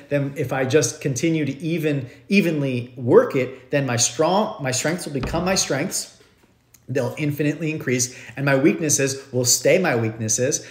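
A man in his thirties explains yoga ideas to a live audience from his living room. He talks animatedly and circles back over his own phrases, with his voice at an ordinary middle pitch. The room is bare and echoey, picked up on a phone camera held close. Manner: animated, gestures a lot, loose and repetitive phrasing. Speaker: yoga teacher. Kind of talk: live-stream teaching talk. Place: living room at home, indoors.

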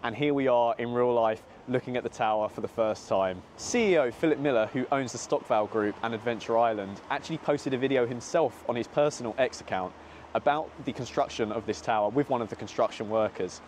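A man's voice: mid-range voice, a little fast, darkish tone, slight background noise. A British man in his twenties presenting an update vlog to camera outdoors as the host. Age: 20s. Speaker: theme park vlog host. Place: outdoors by a seaside amusement park. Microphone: small clip-on mic on jacket, filmed at arm's length.

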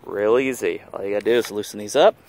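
A man filming a casual how-to video outdoors in a yard, talking in a relaxed, rambling way with lots of fillers while holding the camera. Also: fairly low pitch, quick pace. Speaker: vlogger showing a DIY kayak rack.

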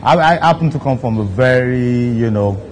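A Nigerian man in his thirties, a DJ sitting in a studio armchair and talking to camera in a relaxed way, with lots of "you know" fillers. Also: mid-range voice, normal speed, a little hiss or hum. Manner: laid-back, frequent "you know" fillers. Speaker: DJ hosting his own video countdown. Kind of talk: relaxed seated talk to camera. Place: studio set, seated in armchair.

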